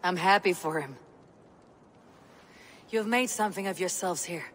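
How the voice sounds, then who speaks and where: An actress playing a blunt, resolute Viking warrior woman in a video game, speaking in measured phrases. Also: on the low side, a bit bright, slight background noise. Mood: calm, probing. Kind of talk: video game cutscene acting.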